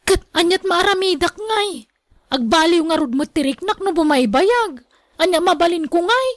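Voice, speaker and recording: High-voiced headstrong young mother. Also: animated, moderate pace, no echo, clean studio sound. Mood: dismissive. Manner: defiant, dismissive. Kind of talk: Ilocano radio drama acting.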